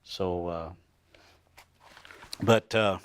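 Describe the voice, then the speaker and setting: A man in his sixties hosting a TV studio interview, asking leading questions in a halting way with many pauses. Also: deep voice, fairly even intonation, smooth. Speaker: host.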